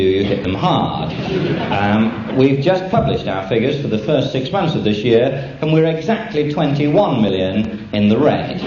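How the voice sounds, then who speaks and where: British male after-dinner speaker, mid-pitched, dry deadpan comic delivery, echoey room. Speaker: air traffic controller giving an after-dinner speech. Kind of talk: humorous after-dinner speech. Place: function room with an audience. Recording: echoey, dull, very noisy live room recording. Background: audience laughter, loud noise.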